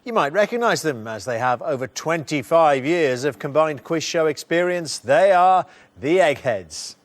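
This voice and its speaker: A man's voice: a little high, lively intonation, moderate pace. A man hosting a television quiz show, presenting and putting questions to contestants. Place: TV studio. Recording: faint music bed behind. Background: music.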